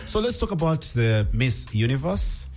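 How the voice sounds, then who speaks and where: A male radio host talks in a teasing, joking way, his pitch swooping all over as he banters. He speaks from a studio. Music plays clearly behind him. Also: sing-song, normal speed.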